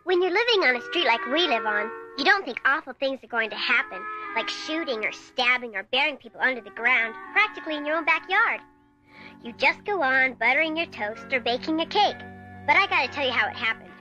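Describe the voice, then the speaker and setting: An actress playing an earnest, excitable ten-year-old American girl telling her story in a radio drama. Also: high voice, fairly quick, faint music behind, rough recording. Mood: earnest storytelling. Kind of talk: dramatized first-person radio drama narration.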